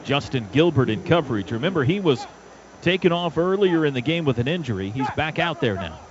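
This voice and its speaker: An American man calling live football play by play on radio, in clipped phrases that rise to shouts on big plays. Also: on the high side, expressive, brisk. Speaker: play-by-play announcer. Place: stadium radio booth. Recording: dull radio broadcast, loud stadium noise behind.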